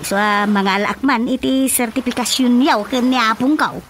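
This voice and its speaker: A woman's voice: a little high, normal speed, a bit bright, slight background noise, close to an everyday voice. A woman playing a brash, wheedling granddaughter in an Ilocano radio comedy drama, with an Ilocano accent. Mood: wheedling, eager. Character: brash, wheedling granddaughter petitioning the village chairman.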